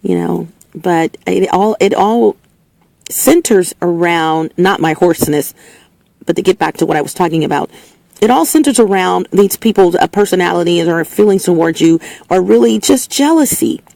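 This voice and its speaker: A woman hosting her own opinion segment, talking in an emphatic, preachy way and often restarting and repeating herself. Her voice is slightly hoarse and lower than usual. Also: expressive, normal speed, warm tone, dry recording, low-quality recording. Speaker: host of a spoken opinion segment. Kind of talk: casual opinionated monologue. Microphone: speaking into a recording setup with a level meter.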